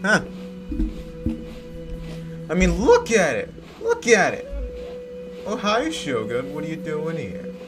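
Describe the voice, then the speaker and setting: A man giving casual commentary as he plays through a game, halting and often repeating his phrases. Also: fairly high pitch, huge pitch swings. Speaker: gaming streamer. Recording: game music behind the voice.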